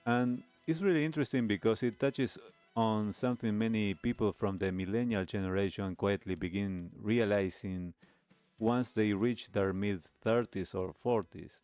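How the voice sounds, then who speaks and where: Low-voiced man hosting a reaction show. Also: normal speed, a little mellow, clean tone, soft background music. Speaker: host of a nostalgia talk show. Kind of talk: reaction commentary on a video.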